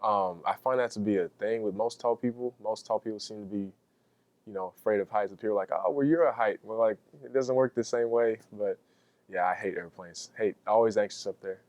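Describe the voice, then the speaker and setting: A man's voice: fairly low pitch, a little fast, a little mellow, dry recording. A young man, a college athlete, answering interview questions casually. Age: young adult. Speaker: college basketball player.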